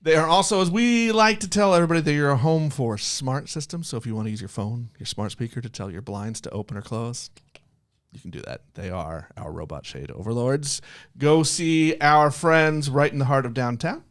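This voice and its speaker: Animated man hosting a casual podcast. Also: mid-range voice, normal speed. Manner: jokey, chatty. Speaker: podcast host.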